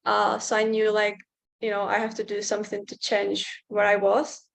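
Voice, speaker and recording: Young woman on a video call, pretty monotone, lots of "like"s. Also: mid-range voice, normal speed, gravelly. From Czech Republic. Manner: hesitant, many "like" fillers. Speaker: interview guest, client. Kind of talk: casual testimonial chat.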